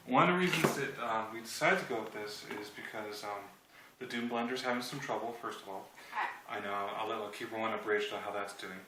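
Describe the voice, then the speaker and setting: A man's voice: on the low side, brisk, sharp tone. A man in his thirties giving a casual, rambling, joking commentary as he reviews a product. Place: home kitchen, indoors. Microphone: handheld camera at a short distance. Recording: echoey kitchen with clear noise and clicks.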